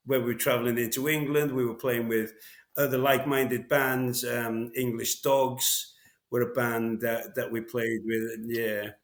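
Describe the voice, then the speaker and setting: A man's voice: mid-range voice, flat delivery, measured pace, echoey room. A middle-aged Welsh man from North Wales, a veteran drummer telling his story in a casual interview, rambling with many fillers and restarts.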